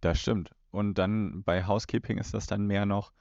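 Man, deep gravelly voice, podcast co-host, casual commentary, dry recording. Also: steady tone, normal speed, a little mellow, dry recording, muffled recording. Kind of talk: casual chat commenting on an audio drama.

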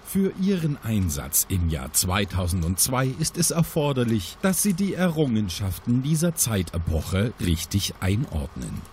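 A man's voice: mid-range voice, darkish tone, slight background noise. A man voicing a solemn, dramatic taped agency briefing, delivered as a portentous, emphatic scripted announcement.

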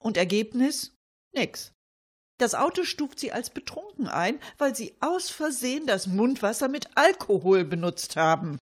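A woman co-hosting a satirical cabaret radio show, with a husky voice and dry irony. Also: a little high, very animated, measured pace.

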